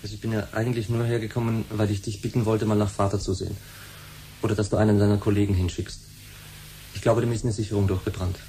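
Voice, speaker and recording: An actor playing a sullen runaway son in a 1970s radio play, blunt and colloquial. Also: male voice, lowish voice, flat delivery, fast talker, dark tone, natural voice. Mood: sullen, matter-of-fact. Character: sullen, runaway teenage son who loves music. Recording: faintly noisy 1970s radio recording.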